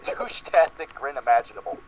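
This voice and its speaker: A man narrates fan fiction with a hugely varied, swooping pitch, as a comic dramatic reading. His recording is dull, with light noise underneath. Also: sing-song, fairly quick. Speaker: reader. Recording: lo-fi muffled audio, faint noise.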